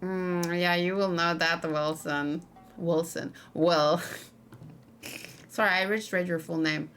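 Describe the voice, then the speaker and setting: A woman's voice: on the low side, steady tone, slow, a bit bright. A woman giving casual let's play commentary, thinking aloud and chatting to her viewers over game music. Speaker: livestreamer playing a video game. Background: game music, typing.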